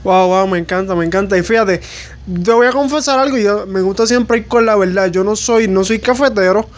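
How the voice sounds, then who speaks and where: A man's voice: high-pitched, moderate pace, lo-fi sound. A man hosting a casual interview, informal and self-deprecating, feeling his way through his questions. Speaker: interviewer, host.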